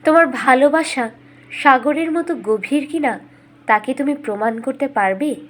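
High-voiced young romantic princess played by a woman. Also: measured pace, a bit bright. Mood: challenging. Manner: poetic, formal declarations. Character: young romantic fairytale princess, tender and proud.

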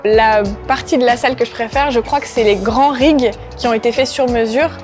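A woman's voice: on the high side, a little fast. A young woman who co-owns a gym and coaches there, speaking earnestly in an interview with some hesitations. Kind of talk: interview testimonial. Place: large indoor gym. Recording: music playing behind voice. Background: background music.

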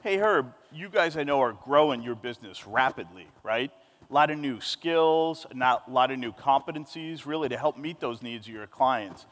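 A man's voice: mid-range voice, expressive, moderate pace, a bit bright. A man in his forties moderating a conference panel on a large stage, emphatic and upbeat, speaking into a handheld mic. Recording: long hall reverb.

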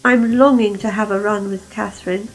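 A woman's voice: mid-range voice, steady tone, moderate pace, soft background music, lightly acted, low-quality recording. A woman voicing an old, patched-up male mountain engine who speaks in a dry, teasing way. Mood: gentle.

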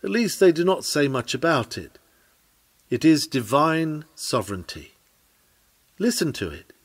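A man with a slightly high voice reads a nineteenth-century sermon aloud. His delivery is earnest and declamatory, in the manner of a preacher. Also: very animated, measured pace, slightly rough. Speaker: sermon reader.

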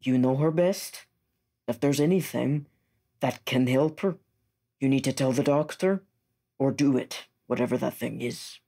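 Husky male tabletop player. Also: medium pitch, measured pace, dark tone. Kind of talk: improvised in-character roleplay.

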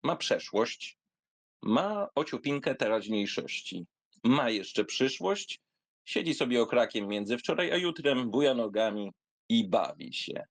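A man giving a theatrical reading of a literary memoir, in an expressive, wry, conversational storytelling manner. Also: mid-range voice, measured pace, dry recording. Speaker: narrator reading a literary essay aloud.

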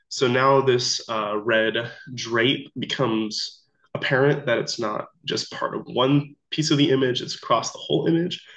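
A young man, sick and a little off voice, walking through a slideshow activity in a casual, halting way with many ums. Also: mid-range voice, fairly bright tone. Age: young adult. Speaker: student teacher presenting an activity. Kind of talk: recorded slideshow walkthrough. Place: small room indoors. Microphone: laptop webcam mic. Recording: dull lo-fi video call audio.

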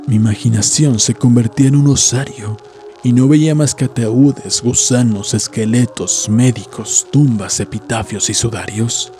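Man, audiobook narrator, mellow tone, measured literary horror reading, music behind. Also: mid-range voice. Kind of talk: scripted horror story narration. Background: background music.

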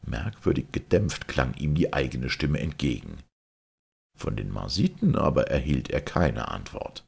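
A man narrating a literary audiobook in a deliberate, dramatic storytelling manner. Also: extremely low pitch, big pitch swings, vocal fry, a little mellow, slightly rough.

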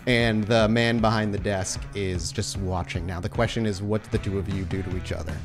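A man running a tabletop game as game master, narrating scenes and talking through rules in an excitable, informal way. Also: on the low side, fairly quick, over music. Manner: excitable, informal, laughs easily.

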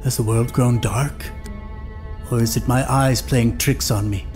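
A man's voice: mid-range voice, fairly even intonation, measured pace, darkish tone, soft background music, close to an everyday voice. An actor playing a patient, persistent man from the country in an audio drama, pleading politely and hesitantly. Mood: weary, muttering.

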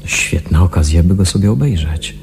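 An actor playing a restless, suspicious patient in a Polish science fiction radio drama, questioning and muttering to himself. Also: male voice, low voice, animated, normal speed, creaky, over music. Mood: uneasy, suspicious. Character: restless, suspicious male patient in a sci-fi sanatorium.

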